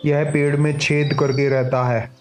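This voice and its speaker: Guy doing an old owl elder in a kids cartoon, slow n flat, measured, bit of music behind. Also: on the high side, natural voice. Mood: questioning, measured. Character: elderly owl, neighbourhood elder, questioning strangers. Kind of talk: Hindi animated moral story dialogue.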